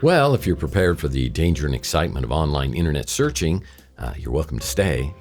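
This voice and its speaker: An actor playing a wry, world-weary private detective in a hardboiled radio drama, narrating with deadpan, self-deprecating wit. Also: male voice, extremely low pitch, brisk, long reverb tail, faint music behind. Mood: sardonic.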